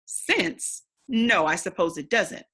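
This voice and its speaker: A woman voicing a wise, wary elderly man in a storybook, speaking in a measured, grave, musing way. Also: mid-range voice, lively intonation, sharp tone, slightly rough, full character voice.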